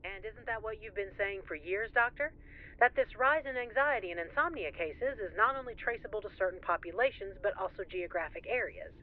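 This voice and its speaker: A woman's voice: medium pitch, fairly quick, slight background noise. A woman playing an inquisitive radio interviewer with a polished, probing delivery, through a radio filter. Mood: curious, polished. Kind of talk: broadcast interview acting. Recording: tinny, band-limited radio broadcast sound.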